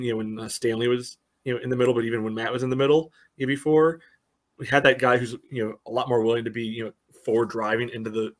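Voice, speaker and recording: A man's voice: medium pitch, a bit bright. A man giving casual soccer analysis on a podcast, breaking his thoughts into short phrases padded with filler. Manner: peppers phrases with "you know". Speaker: podcast host and soccer supporter. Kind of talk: casual sports podcast discussion.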